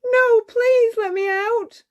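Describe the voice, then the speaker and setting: Storybook heroine, performed by a woman: young, sweet, hopeful, earnest, voice lifted high. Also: fairly even intonation, slow, dull audio. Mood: pleading. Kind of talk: storybook read-aloud character voice.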